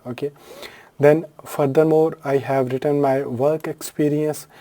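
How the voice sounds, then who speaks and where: Male tutorial host, Urdu first language, deep mellow timbre, unscripted and filler-heavy. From Pakistan. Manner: unscripted, frequent fillers and self-corrections. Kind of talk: screen-share tutorial walkthrough.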